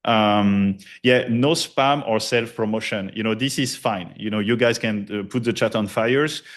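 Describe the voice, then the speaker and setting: A middle-aged man with a French accent gives a loose, energetic live presentation, full of fillers and casual cursing. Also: fairly low pitch, measured pace. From France. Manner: loose, hype-driven, heavy filler words and cursing. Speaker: conference presenter, studio founder.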